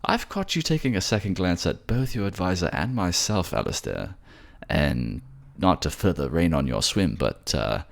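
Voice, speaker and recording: A kind, courtly prince from a fantasy audio drama, acted out by a man. His voice swings in lively arcs, lifted well above the actor's own low register, as he gently teases and reassures. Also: moderate pace, gravelly, hardly any pauses. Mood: playful. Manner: chatty, courtly, teasing.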